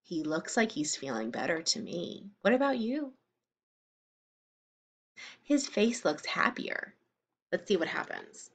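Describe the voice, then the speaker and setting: A woman's voice: lowish voice, big pitch swings, slow, vocal fry, long pauses, breathy edge. A woman reading a children's story aloud like a teacher, speaking expressively and explaining things simply to young listeners.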